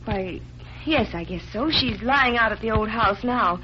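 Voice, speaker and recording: Through the dull band of a vintage radio recording, an actress plays an anxious young woman with a high voice. She is earnest, pleading and dramatic, in the manner of a 1940s mystery. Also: measured pace, a little hiss or hum, close to an everyday voice. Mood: subdued, earnest. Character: frightened, pleading young heiress, grieving and insistent.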